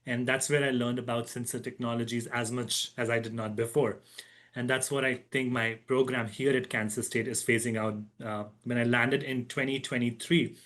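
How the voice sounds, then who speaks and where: A man's voice: mid-range voice, fairly bright tone. A man in his thirties telling how his research career took shape, as a guest in a podcast interview, reflective with restarts and fillers. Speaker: guest, agronomy professor.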